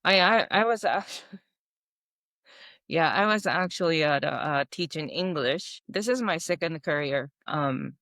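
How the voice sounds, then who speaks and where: A woman's voice: low voice, animated, measured pace, fairly bright tone, no room sound, studio quality. A Japanese-born woman, a veterinary dermatologist, talking in a casual interview, hesitant and searching for words with many fillers.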